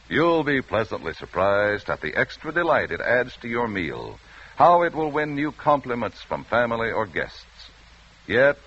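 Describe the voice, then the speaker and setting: A man's voice: medium pitch, fairly bright tone. An American man reading a 1940s radio advertisement as announcer, with polished, emphatic salesmanship. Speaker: commercial announcer. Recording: dull vintage radio recording.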